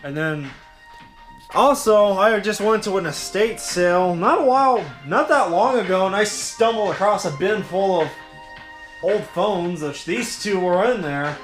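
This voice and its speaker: A man's voice: high-pitched, measured pace, faint music behind. A young man hosting a casual hobby show-and-tell, rambling informally as he addresses his viewers. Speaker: hobbyist video host.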